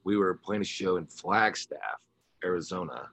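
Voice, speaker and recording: Low-voiced man telling casual stories. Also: normal speed, fairly bright tone, no room sound. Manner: informal, rambling storyteller. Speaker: musician interview guest, rock singer and guitarist. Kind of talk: casual chat, telling anecdotes.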